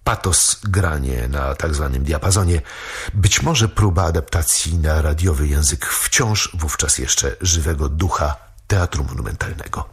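A man presenting a documentary about early radio, speaking in a calm, measured way. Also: very deep voice. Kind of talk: documentary narration.

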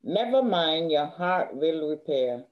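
A woman's voice: sits low, big pitch swings, takes it slowly, exaggerated voice. Stern, bookish big sister in a stage play, Caribbean older woman reader; matter-of-fact, slightly muffled recording. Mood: stern, dry. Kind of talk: rehearsed play reading. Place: indoor room at home, on video call. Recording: dull video call audio.

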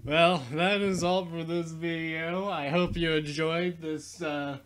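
Slow-talking young man rambling over gameplay. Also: on the high side, flat delivery, fairly bright tone. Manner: rambling, mock-boastful, self-mocking. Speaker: YouTuber. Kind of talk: casual gameplay commentary.

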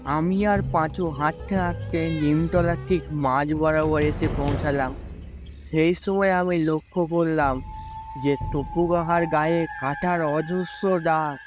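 Casting: male actor as timid village youth and narrator for horror cartoon, high-pitched, music clearly behind. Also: steady tone, measured pace, lightly acted. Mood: suspenseful telling. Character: timid, worried young village man telling his tale. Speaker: narrator and character. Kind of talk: cartoon ghost-story narration and acting.

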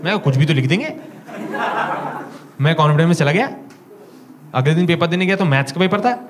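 A young man with an Indian accent doing a stand-up comedy set in a small club, telling stories with animated acted-out dialogue into a handheld mic. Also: on the high side, fairly quick, background noise. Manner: animated storytelling, acting out dialogue. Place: small comedy club stage before a live audience. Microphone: handheld stage mic.

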